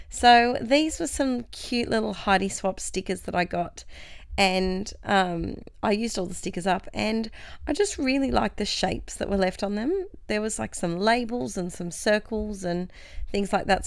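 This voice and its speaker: A young woman with a croaky voice from a cold, chatting cheerfully through a scrapbooking voice-over. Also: medium pitch, no echo. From Australia. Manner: chatty, cheerful, self-mocking. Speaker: craft channel host.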